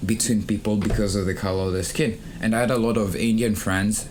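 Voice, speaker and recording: Young man from Kenya, low voice, student podcast host, measured and hesitant, faint background hum. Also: steady tone. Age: young adult. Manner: thoughtful, hesitant, frequent fillers and backchannel. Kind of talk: casual conversation.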